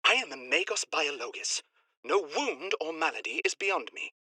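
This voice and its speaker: A man's voice: fairly high pitch, very animated, normal speed, pushed and bright, slightly rough, dry recording, studio quality, exaggerated voice. A man playing a proud, intense physician in a game audio log, lofty and insistent. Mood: harried, proud.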